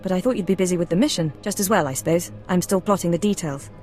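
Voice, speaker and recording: A woman playing an aloof, guarded intelligence handler in a sci-fi video game, crisp and businesslike. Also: fairly low pitch, fast, darkish tone, faint music behind, close to an everyday voice. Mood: composed, guarded. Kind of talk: scripted video game dialogue.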